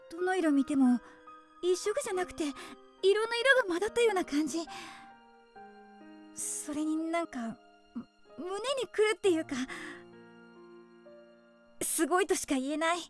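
A woman acting a frank, warm-hearted teenage girl, a loyal best friend, with expressive, open delivery in a Japanese radio drama. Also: extremely high pitch, measured pace, long pauses. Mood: moved. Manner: expressive, plain-spoken, emotionally open. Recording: studio drama with music underneath.

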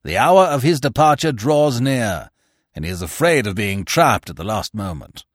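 A man reading aloud voices a hot-tempered older gentleman who roars and talks emphatically. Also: mid-range voice, sing-song, normal speed, no echo, studio quality. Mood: urgent. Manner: loud, emphatic, roaring.

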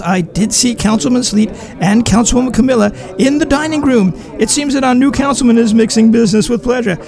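A man's voice: extremely high pitch, vocal fry, exaggerated voice. A man voicing a fawning, gossipy male society reporter covering a gala in a fan audio drama.

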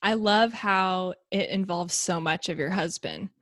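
A woman hosting a podcast interview, chatty and quick to laugh. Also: fairly low pitch, a bit bright, no echo, studio quality. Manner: laughs often, upbeat and chatty. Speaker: podcast host. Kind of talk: casual interview chat.

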